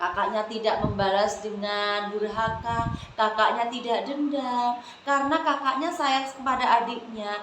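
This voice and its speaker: Female children's storyteller. Expressive and slow-paced, speaking to little listeners in an echoey room. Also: fairly high pitch. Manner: expressive, addresses listeners often, dramatic pauses. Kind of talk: animated storytelling to young children. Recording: echoey room, clearly noisy background.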